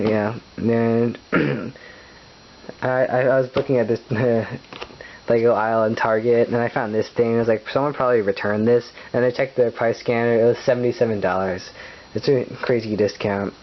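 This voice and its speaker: A man's voice: medium pitch, fairly even intonation, brisk. A late-teen young man, chatting casually in a rambling home vlog, full of fillers and restarts and frequent chuckles. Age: late teens. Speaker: vlogger. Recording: dull lo-fi recording with faint hiss.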